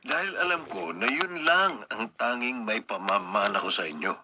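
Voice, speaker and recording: A man's voice: fairly low pitch, animated, moderate pace, lightly acted. A man playing a kind, honest, middle-aged policeman and father in a Philippine radio drama, speaking earnestly with a Filipino accent. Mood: tender, earnest. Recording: noisy radio drama with ambient sound effects.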